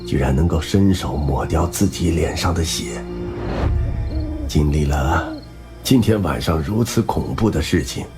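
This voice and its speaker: An actor playing a soft-spoken, quietly menacing middle-aged spymaster in a wheelchair in an audio drama. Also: male voice, very deep voice, a little slow, over music. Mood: fond, sinister.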